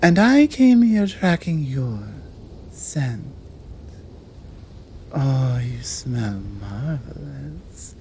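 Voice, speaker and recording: Woman acting a slow, seductive British monster woman. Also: very deep voice, expressive, mellow, slight background noise, full character voice. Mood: coy, insistent. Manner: slow, coaxing, commanding, often dropping to breathy murmurs. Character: tall, dominant monster woman, seductive, predatory and teasing. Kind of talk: ASMR roleplay audio drama.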